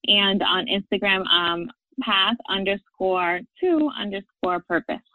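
A woman from Puerto Rico, a life coach and podcast guest, answering questions in a relaxed, upbeat way and laughing often. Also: mid-range voice, unhurried, no echo. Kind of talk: casual interview answers. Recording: tinny phone-like line.

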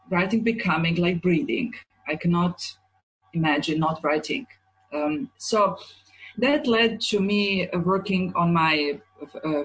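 A woman in her forties from Croatia, an author answering interview questions in a thoughtful, halting way. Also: fairly low pitch, rough recording. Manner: thoughtful, halting, searching for words. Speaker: children's author, guest.

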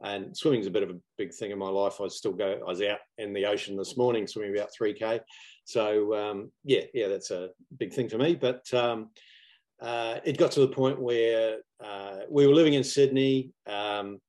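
Lowish-voiced middle-aged New Zealand man. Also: steady tone, darkish tone, rough recording. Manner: laid-back, self-deprecating, halting phrasing. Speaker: interview guest, property investor student. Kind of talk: casual anecdotal chat. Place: live video call online.

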